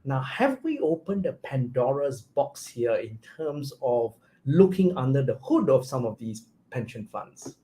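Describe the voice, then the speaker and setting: A man hosting a live business news interview, asking brisk questions with some fillers. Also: medium pitch, very animated, mellow. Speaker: news show host.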